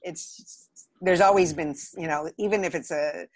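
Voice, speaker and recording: A woman's voice: fairly low pitch, fairly even intonation, unhurried, dry recording. A woman with an American accent chatting casually as an interview guest who works as a theater director. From Los Angeles area, United States. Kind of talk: casual conversation.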